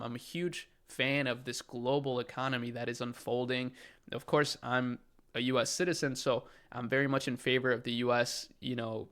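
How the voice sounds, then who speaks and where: A man's voice: mid-range voice, fairly bright tone, clear, resonant tone. A young man from Chile talking casually to camera as an e-commerce channel host, upbeat and enthusiastic, with lots of fillers. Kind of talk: casual direct-to-camera talk.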